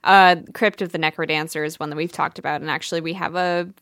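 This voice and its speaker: Clear, lowish-voiced woman, dry sound. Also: fairly even intonation, quick pace, fairly bright tone, no room sound. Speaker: podcast panelist, games writer. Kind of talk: casual conversational podcast talk.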